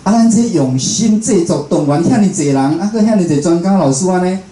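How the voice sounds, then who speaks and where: A man's voice: high-pitched, lively intonation, brisk, mellow. A Taiwanese man, a radio host, giving an informal, conversational public talk. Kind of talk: informal public lecture to an audience. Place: indoor talk venue with a live audience. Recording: echoey room, clear background noise.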